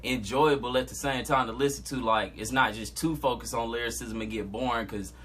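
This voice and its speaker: A man's voice: mid-range voice, steady tone, brisk, a bit bright, husky, soft background music. Laid-back young man. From Georgia, US. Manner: laid-back, talks in slang. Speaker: guest rapper. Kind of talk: casual podcast interview.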